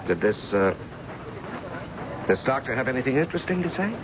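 An actor playing a loyal, concerned ex-cop family friend, earnest and direct, in an old-time radio drama. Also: male voice, medium pitch, very animated, fairly quick, close to an everyday voice. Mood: curious. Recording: muffled, noisy old radio recording.

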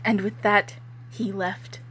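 A woman's voice: mid-range voice, level tone, takes it slowly, a bit bright, slight background noise. A young woman giving an amateur dramatic reading of fiction, with casual, giggly, self-mocking banter. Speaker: co-host, author reading own fan fiction.

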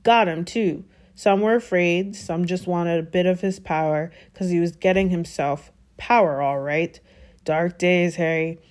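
Storybook giant man, played by a woman: gruff, good-hearted, anxious, folksy dropped consonants. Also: on the low side, measured pace, a little mellow, lightly acted. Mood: grave, uneasy. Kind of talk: storybook character voice.

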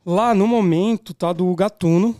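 Higher-voiced São Paulo man, teasing banter. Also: a little slow, dark tone. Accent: São Paulo Brazilian. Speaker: podcast co-host. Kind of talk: informal casual chat.

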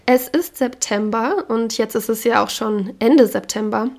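A woman hosting a podcast, speaking frankly and with opinions while hoarse from a cold. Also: a little high, unhurried, darkish tone. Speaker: podcast host. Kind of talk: casual solo podcast talk.

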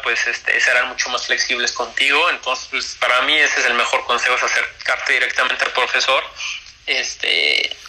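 A man's voice: medium pitch, sharp tone, low-quality recording. A young man talks casually as an interview guest about college tennis, with many fillers and restarts.

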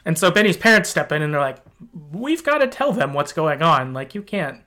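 Casting: man with a higher-than-average voice, casual podcast host retelling a story, conversational and tentative. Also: very animated, brisk, pushed and bright. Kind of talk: casual podcast discussion, recapping a game's story.

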